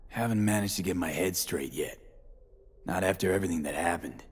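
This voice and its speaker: An actor playing a gruff, wary street mercenary in a video game, talking in a casual, clipped, sceptical way. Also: male voice, low voice, fairly quick. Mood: wary, weary. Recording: heavy background noise, game ambience.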